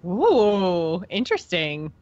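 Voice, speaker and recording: A woman's voice: fairly low pitch, sing-song, slow pace, a little mellow, no echo. A woman co-hosting a podcast, chatting informally as a fan about a video game, on a slightly dull recording. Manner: informal, conversational. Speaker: podcast co-host.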